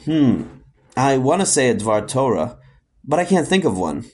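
A bumbling colonel from a children's audio comedy, put on by a man. He is eager for attention and pompous, yet sheepish and hesitant, with lively swings in his delivery. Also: medium pitch, moderate pace, breathy edge.

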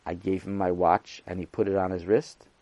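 A man's voice: low-pitched, little pitch movement, creaky, no echo, voice pushed down. A man voicing a timid, reluctant schoolboy who speaks hesitantly and stumbles over words. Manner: hesitant, halting, quiet.

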